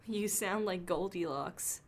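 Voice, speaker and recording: A woman's voice: lowish voice, takes it slowly. A woman playing an efficient assistant in a radio play, formal and polite with a dry wit.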